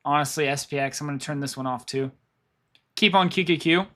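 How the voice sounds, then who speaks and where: A man's voice: on the high side, brisk, a bit bright. A man who is losing his voice, giving rambling live commentary on stock charts, thinking aloud and repeating himself. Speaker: stock market streamer.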